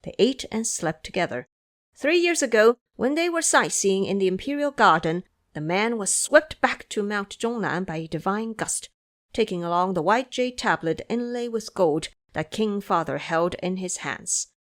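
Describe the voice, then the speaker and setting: A woman's voice: medium pitch, animated, moderate pace, close to an everyday voice. A woman playing a haughty, hot-tempered young crown prince with an imperious delivery in an audio drama. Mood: earnest. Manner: imperious, indignant.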